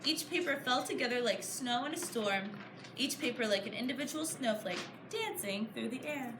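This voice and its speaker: A woman reading a storybook aloud to a class of children, pausing to put questions to them in an encouraging, teacher-like way. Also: on the high side, animated. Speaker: author reading her book to a class. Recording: echoey room, clear background noise. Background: children answering, room noise.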